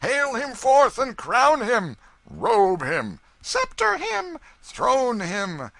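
A man's voice: very high voice, huge pitch swings, drawn-out words, gravelly, pushed and bright, no echo, voice pushed up, exaggerated voice. A man voicing jeering ragged vagabonds in a storybook, wailing and shrieking in mock worship. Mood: jeering shouts.

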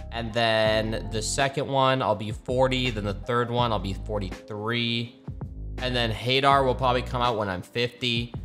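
A man in his thirties streaming games, chatting casually with loud profanity and excitable trash talk. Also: lowish voice. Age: 30s. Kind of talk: casual gaming stream chat.

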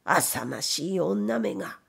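A woman's voice: on the low side, lively intonation, slow pace, creaky, a bit bright, slightly rough, heavily acted. A woman reading the part of a proud, bitter, grieving old blind factory owner in a storybook, with weary old-fashioned speech. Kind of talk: dramatic literary reading.

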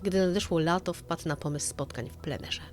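A woman's voice: fairly low pitch, normal speed, darkish tone, faint music behind. A woman narrating a scripted true-crime story in an audio drama style.

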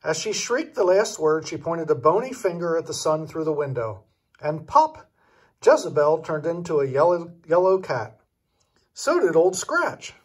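A man's voice: on the high side, sing-song, moderate pace, husky. An older man reading a children's storybook aloud expressively, as a grandfather would.

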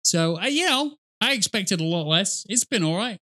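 Higher-pitched man giving rambling game commentary. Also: animated, normal speed, sharp tone. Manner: rambling, self-correcting, sweary. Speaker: reaction video host. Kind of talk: casual game reaction commentary. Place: small indoor room at a desk with headset.

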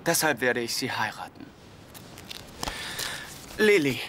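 A man's voice: medium pitch, sing-song, slow pace, fairly bright tone, slight background noise. An actor dubbing an earnest, sheepish young man about to marry in a sitcom dub.

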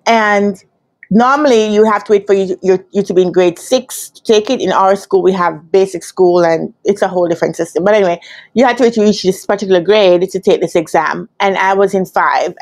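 Jamaican woman telling her story, mid-pitched. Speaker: career coach telling her own story. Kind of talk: personal storytelling to camera. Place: indoor room with curtains. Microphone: lapel mic.

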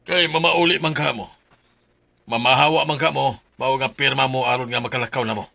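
A man's voice: medium pitch, fairly even intonation, a little fast, creaky, sharp tone, no echo. An actor with a Cebuano accent playing a harsh, stingy male employer, curt and bossy, in a radio drama. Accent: Cebuano Filipino. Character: harsh, stingy male employer ordering his helpers around.

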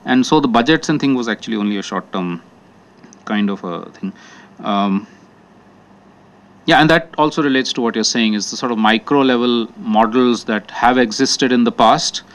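A man's voice: mid-range voice, moderate pace, a bit bright. A man from India, speaking as a panelist and making his points thoughtfully with many fillers and restarts. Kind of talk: panel discussion remarks. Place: conference hall.

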